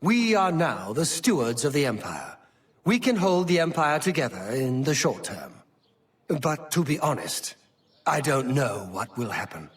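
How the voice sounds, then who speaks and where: An actor playing an earnest, formal imperial chancellor in a fantasy game, with declamatory and emphatic delivery. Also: male voice, medium pitch, big pitch swings, a little slow, recorded from a distance. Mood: concerned. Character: earnest imperial high chancellor, formal, stately, concerned statesman. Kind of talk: dramatic fantasy game acting.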